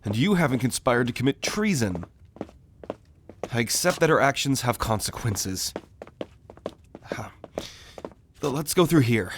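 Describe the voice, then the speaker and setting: A man acts out a young prince of firm principle in a fantasy roleplay. His voice sits at a middle pitch. He speaks with earnest loyalty and courtly politeness. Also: very animated, measured pace, long pauses, background noise. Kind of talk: fantasy audio roleplay acting.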